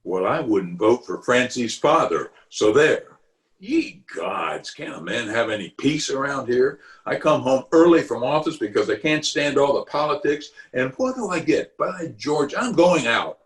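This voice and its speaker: A pompous middle-aged uncle acted by a man in an old-time radio comedy. His mid-pitched voice swoops widely as he drags out indignant words. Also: expressive, lightly acted. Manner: pompous, indignant, drawn-out delivery. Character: pompous, blustering middle-aged uncle, a small-town official. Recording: remote home recording over video call.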